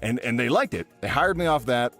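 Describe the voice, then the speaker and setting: A man with a smooth voice chatting casually in an interview as a guest voice actor, telling anecdotes in an animated way. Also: medium pitch, a little fast, over music. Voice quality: smooth-toned. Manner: animated, slips into impressions.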